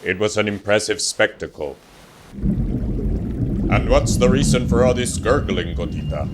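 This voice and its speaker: A man voicing a dry, blunt puppet TV reporter in a formal, deadpan mock-news style. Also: on the low side, measured pace, very noisy. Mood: deadpan, inquisitive.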